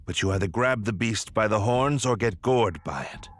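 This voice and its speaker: Grave, deliberate male strategist played by an actor. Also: on the low side, lightly acted. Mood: resolute. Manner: deliberate, formal. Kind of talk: video game cutscene acting.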